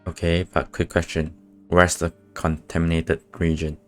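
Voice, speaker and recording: A man's voice: sits low, completely flat delivery, moderate pace. A man casually commenting on a game as he streams it, with skeptical, offhand remarks. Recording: game music behind the voice. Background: video game music.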